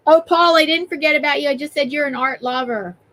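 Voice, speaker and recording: A woman's voice: high-pitched, fast talker, a bit bright. A woman hosting a live art stream, chatting casually and reading out and greeting viewers' comments.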